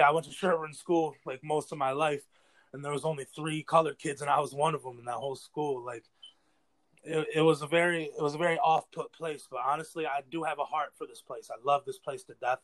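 A man's voice: a little high, steady tone, fairly quick, fairly bright tone, poor audio. An eighteen-year-old American man from New York State talking casually as an interview guest, earnest and rambling, with plenty of slang. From New York State, United States. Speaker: interview guest, battle rapper.

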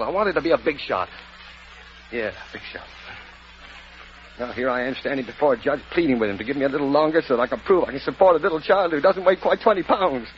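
A man acting an earnest young husband and father in a vintage radio drama, speaking haltingly and with emotion. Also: a little high, slight background noise, lightly acted. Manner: halting, emotional, repeating words. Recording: muffled lo-fi old radio recording.

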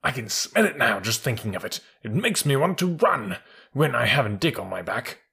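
A man voicing a high-strung, sensitive male army horse in a storybook, earnest and skittish. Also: very animated, normal speed, vocal fry, bright, forceful tone, slightly rough, full character voice. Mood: agitated.

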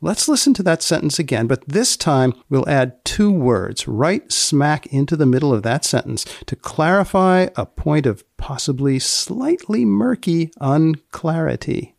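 A man hosting a podcast with wry, mock-serious commentary, speaking in a deadpan, deliberately wordy way. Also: a little high, creaky, no room sound, clean studio sound. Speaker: podcast host. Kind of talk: wry scripted commentary with banter.

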